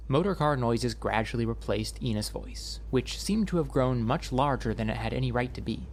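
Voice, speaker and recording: Expressive, gravelly male audiobook narrator. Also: medium pitch, moderate pace, slight background noise. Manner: wry, expressive storytelling. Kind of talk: scripted story narration.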